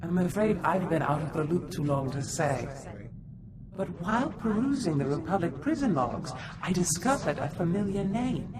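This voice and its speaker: A woman's voice: fairly low pitch, expressive, normal speed, crowd noise, natural voice. A woman voicing an earnest, formal, scholarly advisor in a game cutscene. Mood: measured, informative.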